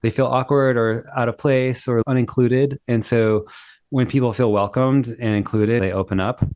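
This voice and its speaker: A man's voice: medium pitch, steady tone, moderate pace, a little mellow, clean tone, no echo. A man, a singer-songwriter, chatting as a guest in an upbeat, enthusiastic way over a video call. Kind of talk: casual interview chat.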